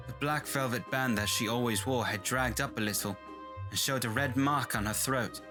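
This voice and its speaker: A man's voice: medium pitch, moderate pace, natural voice. An actor playing an earnest, troubled doctor who narrates from his journal in a radio horror drama. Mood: somber. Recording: loud music behind the voice.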